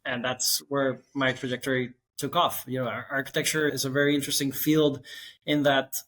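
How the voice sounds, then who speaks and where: A man in his thirties from Costa Rica, an architect guest telling his career story in a relaxed, winding way. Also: medium pitch, brisk. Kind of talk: conversational interview.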